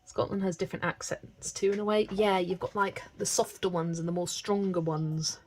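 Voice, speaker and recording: A woman's voice: fairly low pitch, fairly even intonation, normal speed, slight background noise, rough recording. A young English woman from the West Country with a mild accent, chatting casually while hosting a live stream and laughing often. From West Country, England. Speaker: live-stream host colouring.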